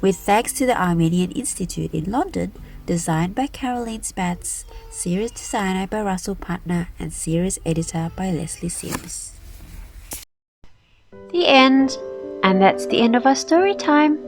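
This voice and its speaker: A woman narrating a children's fairy tale aloud as a storyteller, in an expressive, deliberate manner. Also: medium pitch, creaky, soft background music, poor audio. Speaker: storyteller and channel host.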